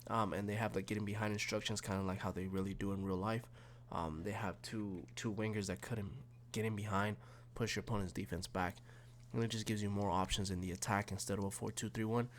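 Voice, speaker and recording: Sick, raspy-sounding gaming YouTuber man. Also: fairly low pitch, steady tone, fairly quick, slight background noise. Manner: rambling, many fillers and restarts. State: sick, slightly raspy and snuffly. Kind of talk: casual tactics walkthrough.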